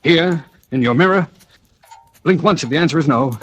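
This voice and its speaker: A man's voice: fairly high pitch, moderate pace, slight background noise, close to an everyday voice. An actor playing a desperate amnesiac man wanted for murder in a 1940s radio thriller, urgent and insistent, on an old radio recording. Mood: coaxing, hushed. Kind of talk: 1940s radio thriller acting with first-person narration.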